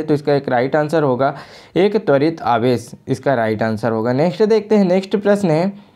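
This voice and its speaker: Indian exam coach with a very dark voice. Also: medium pitch. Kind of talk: reading out exam questions and answers, explanatory lesson.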